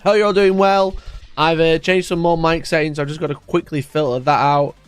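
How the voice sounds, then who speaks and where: A man hosting a casual live stream, energetic and chatty, with a congested voice from a cold. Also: a little high, a little fast, smooth, soft background music. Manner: energetic and chatty, repeating his greetings. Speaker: livestream host.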